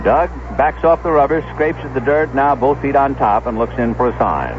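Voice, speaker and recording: A man doing 1970s radio baseball play-by-play, measured and descriptive, telling small stories between pitches. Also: lively intonation, noisy background. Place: stadium broadcast booth. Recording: dull lo-fi vintage AM radio broadcast.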